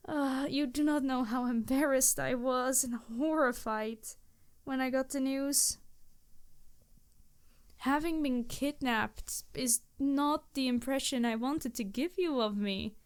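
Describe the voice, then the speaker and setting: A woman's voice: high-pitched, slow pace, clean tone. A woman voicing an embarrassed, centuries-old vampire in an ASMR roleplay, speaking formally and hesitantly, earnest and apologetic to the listener.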